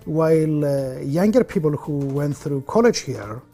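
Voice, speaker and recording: A woman speaking hesitantly with many pauses and fillers in a documentary interview. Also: low-pitched, expressive, warm tone, soft background music.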